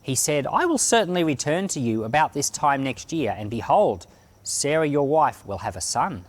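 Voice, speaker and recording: Expressive man reading scripture aloud. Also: mid-range voice. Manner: expressive, deliberate phrasing. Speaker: Bible podcast reader and pastor. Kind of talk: scripture reading, dramatized narration.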